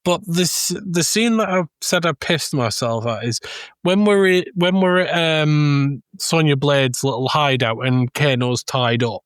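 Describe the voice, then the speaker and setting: A man co-hosting a casual film discussion, telling anecdotes in an animated way and acting out quotes. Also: on the high side, unhurried, clear, resonant tone, no echo, clean studio sound. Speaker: podcast co-host.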